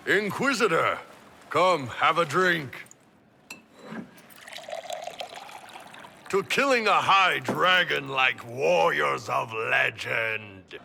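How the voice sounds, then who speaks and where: An actor playing a boisterous, blunt horned warrior with a gravelly voice in a video game cutscene. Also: male voice, fairly high pitch, animated, sharp tone, a little hiss or hum, heavily acted. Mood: hearty, excited. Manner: blunt, unhurried, relishing. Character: boisterous horned Qunari warrior, hearty and dragon-loving.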